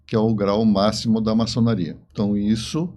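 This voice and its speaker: Mellow Brazilian man in his seventies, noisy background. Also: lowish voice, level tone, a little fast. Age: 70s. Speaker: interview guest, writer and engineer. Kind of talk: conversational interview answers.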